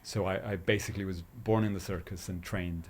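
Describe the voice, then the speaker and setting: A man's voice: low voice, steady tone, fairly quick, a little hiss or hum. A man from Chile, raised in London, talking conversationally as an artist guest in an interview.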